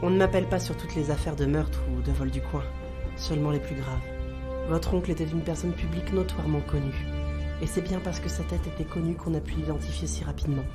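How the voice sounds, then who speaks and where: A woman's voice: deep voice, fast, music in the background, natural voice. An actress playing a self-assured, composed woman profiler in a French audio drama, formal and assertive.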